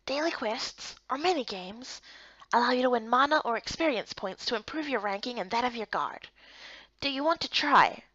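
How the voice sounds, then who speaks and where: A woman's voice: on the high side, lively intonation, normal speed, a bit bright, no room sound, lo-fi sound. Woman playing a patient, lilting fantasy guild guide. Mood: helpful, instructive. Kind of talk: voiced visual novel game dialogue.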